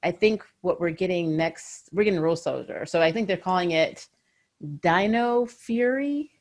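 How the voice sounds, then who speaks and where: A woman's voice: lowish voice, moderate pace, darkish tone. A woman hosting a casual fan chat, talking in a giggly, enthusiastic way. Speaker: host of a fan interview show.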